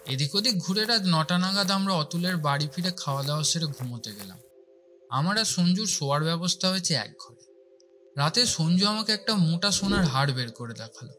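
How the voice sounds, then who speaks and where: A man's voice: fairly high pitch, lively intonation, brisk, sharp tone. A man reading dramatic scripted first-person narration for a horror story, with music behind him.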